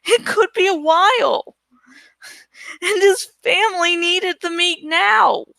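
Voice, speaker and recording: A woman's voice: extremely high pitch, slow, gravelly, bright, forceful tone, dry recording. A woman reading a story aloud as scripted narration. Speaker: audiobook-style reader.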